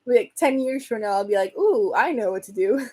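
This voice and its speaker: Chatty young woman, hoarse from talking. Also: fairly high pitch, animated, moderate pace, a little mellow. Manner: chatty, rambling, reads and answers comments, laughs often. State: voice getting hoarse. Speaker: livestream host chatting with viewers. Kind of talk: casual livestream chat.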